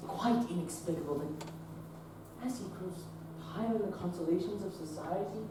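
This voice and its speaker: Young man as an anguished Victorian surgeon on stage, dark tone, heard from afar in a reverberant theater. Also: high voice, a little hiss or hum, natural voice. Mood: despairing. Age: young adult. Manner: halting, then impassioned outbursts. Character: troubled Victorian surgeon Treves, despairing. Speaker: stage actor. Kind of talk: teen stage play performance. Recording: echoey theater recorded from far off.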